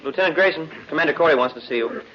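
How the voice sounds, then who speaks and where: Actor as rapid-talking dutiful space cadet. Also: male voice, a little high, close to an everyday voice. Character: eager, dutiful young space cadet aide. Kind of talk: 1950s radio drama acting. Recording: dull, noisy old radio recording.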